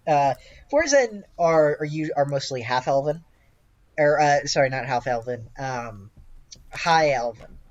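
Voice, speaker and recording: Slow, halting man running a tabletop game. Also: a little high, lively intonation, dull audio. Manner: hesitant, halting delivery. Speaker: game master. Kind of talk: improvised tabletop game narration.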